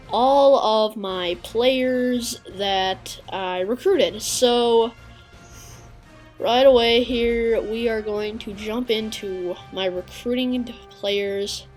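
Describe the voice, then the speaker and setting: A woman's voice: a little high, low-quality recording. Congested woman casually commenting on gameplay. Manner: casual, halting, many "uh" fillers. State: congested, sniffling. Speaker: gaming video creator.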